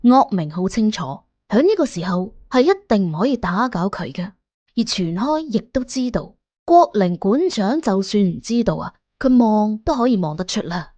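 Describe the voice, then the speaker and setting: Expressive woman storyteller, very animated intonation. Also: mid-range voice, moderate pace, no room sound. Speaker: audiobook narrator. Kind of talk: scripted suspense storytelling in Cantonese. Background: music under the voice.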